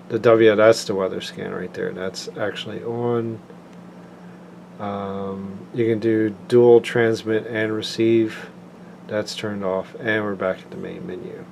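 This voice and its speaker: Casting: man with a fairly low voice, casual hands-on reviewer, unpolished home recording with clear background hum. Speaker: product reviewer. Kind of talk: casual product demonstration. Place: indoors, home tabletop recording. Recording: clear steady air-conditioning room noise.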